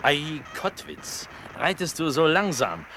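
An actor playing an impetuous, proud young prince and cavalry general in classical radio drama style. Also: male voice, fairly high pitch, very animated, slow pace, pushed and bright, a little hiss or hum, exaggerated voice. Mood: scornful. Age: young adult. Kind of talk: German classical verse radio drama acting.

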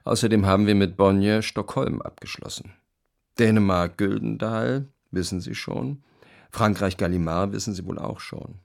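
An upbeat, businesslike publisher in a radio play. The voice is very deep and creaky, with emphatic delivery. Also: male voice, moderate pace. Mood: triumphant. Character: upbeat male publisher reporting triumphs to his author. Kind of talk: radio play acting, reading out business letters.

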